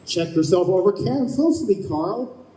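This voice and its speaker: Knowing angel guide, radio drama, high mellow voice, lively intonation, reassuring. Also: male voice, moderate pace, slight background noise. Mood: matter-of-fact explaining. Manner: reassuring, matter-of-fact. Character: Donald, calm knowing guardian angel guide, male. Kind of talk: old-time radio play acting. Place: live performance in a convention room. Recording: echoey hall, far from mic.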